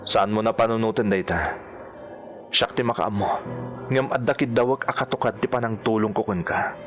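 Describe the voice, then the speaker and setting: A man's voice: fairly low pitch, steady tone, normal speed, lo-fi sound, natural voice. A man with an Ilocano accent acting in a radio drama as an earnest surgeon searching for his missing wife. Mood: earnest, intent. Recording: radio drama with music underneath.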